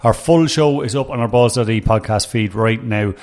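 A man hosting a sports podcast, asking brisk, chatty questions. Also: lowish voice, a little mellow. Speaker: podcast host.

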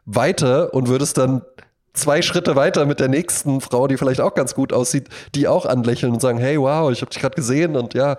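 A man's voice: medium pitch, fairly quick, darkish tone. A man chatting casually as a podcast co-host, joking and acting out little quotes as he explains things. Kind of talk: casual conversational chat.

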